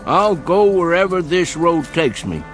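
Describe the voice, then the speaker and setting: A lively-pitched backwoods coon hunter. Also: male voice, faint music behind. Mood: stubborn. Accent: rural Southern American. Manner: homespun, unhurried, plain-spoken. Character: stubborn, plain-spoken backwoods male coon hunter, loyal to dog. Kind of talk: vintage television drama acting.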